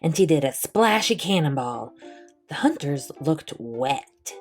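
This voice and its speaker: Woman doing storytime read aloud for kids, really lively expressive pitch, music with it. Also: unhurried. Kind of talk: children's picture book read-aloud.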